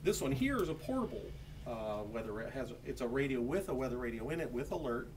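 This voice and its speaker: A man's voice: medium pitch, very animated, quick pace. A man with an American accent explains products informally and plainly, like a shop salesman. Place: electronics store. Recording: distant mic, faint background noise.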